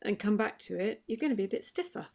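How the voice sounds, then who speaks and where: Chatty woman with a gravelly edge. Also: mid-range voice, expressive, quick pace. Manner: chatty, explanatory, warm advice-giving. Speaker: vocal health expert answering questions. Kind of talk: online forum Q&A.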